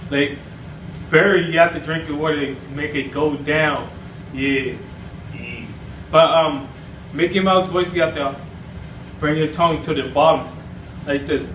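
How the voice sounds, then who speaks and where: Male YouTuber, rambling how-to chat, high voice, mic set back with faint hiss. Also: unhurried, pauses often, a bit bright, roomy sound, far from the mic, rough recording. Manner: rambling, restarts, pleading. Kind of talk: casual how-to tutorial.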